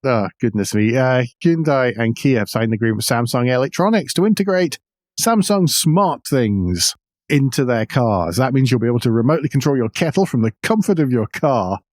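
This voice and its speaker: A man's voice: mid-range voice, lively intonation, fairly quick, a little mellow, dry recording, studio quality. A middle-aged man co-hosting a podcast, chatting casually and wryly about the news. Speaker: podcast co-host. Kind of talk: casual discussion of car news.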